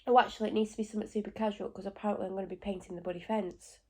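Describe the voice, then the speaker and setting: A woman's voice: mid-range voice, steady tone, racing, mellow, breathy edge. A woman with a blocked nose from a cold, rambling at home in a casual vlog with lots of asides and self-corrections. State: stuffy and congested with a cold. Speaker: vlogger.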